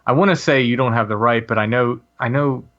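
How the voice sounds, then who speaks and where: A man in his late forties, a podcast guest, telling a personal story casually in a halting way with many restarts and fillers. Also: medium pitch, fairly bright tone, highs cut off.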